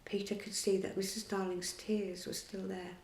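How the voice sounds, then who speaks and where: A woman's voice: medium pitch, normal speed, reverberant, a little hiss or hum. Woman reading a children's story. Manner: clear, steady storybook reading.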